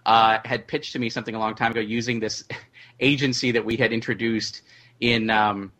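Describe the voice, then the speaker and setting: Bright-voiced, low-pitched man talking shop. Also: little pitch movement, normal speed. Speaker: audio drama writer and producer, panel guest. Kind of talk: casual panel discussion.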